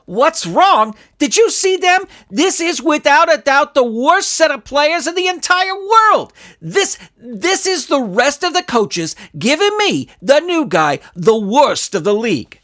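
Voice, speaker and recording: Man acting an irate, high-strung soccer coach. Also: very animated, a little slow, hardly any pauses, heavily acted. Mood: outraged. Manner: loud, sarcastic, exasperated ranting. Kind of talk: dramatised audiobook reading.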